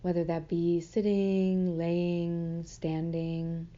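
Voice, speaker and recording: A woman leading a guided meditation in a soothing, gentle manner, unhurried with long pauses, on slightly muffled audio. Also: fairly low pitch, level tone.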